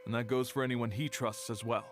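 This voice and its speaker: An actor voicing a cautious male archive keeper in a video game, speaking in a measured, guarded way. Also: mid-range voice, fairly even intonation, soft background music, natural voice.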